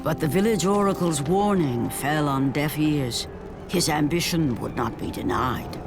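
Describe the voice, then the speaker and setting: A grave storyteller of ancient war legend for a game. The delivery is slow and deliberate, in a fairly high male voice, with clear dramatic music behind it. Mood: grave, ominous. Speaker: narrator. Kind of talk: solemn epic scripted narration.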